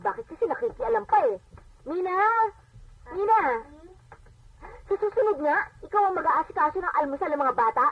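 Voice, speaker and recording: A haughty Filipino daughter and mother, speaks in a high voice with bossy exasperation. It is radio drama acting full of scolding. The audio is dull and lo-fi, like an AM playback. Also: a little fast, crowd noise. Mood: scolding, irritated. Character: haughty, irritable adult daughter and working mother.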